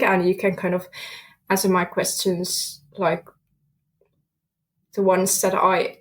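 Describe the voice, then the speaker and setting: A woman's voice: medium pitch, low-quality recording. A young woman from Finland speaking English with a Finnish accent as a podcast guest, searching for words with many fillers. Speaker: guest student. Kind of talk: podcast interview.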